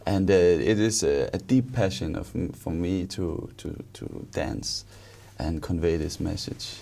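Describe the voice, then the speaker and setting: A Danish man with a Danish accent, introducing himself as an interview guest in a conversational, halting way, often repeating words. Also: sits low, gravelly, dark tone, a little hiss or hum. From Denmark. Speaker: interview guest, author and engineer.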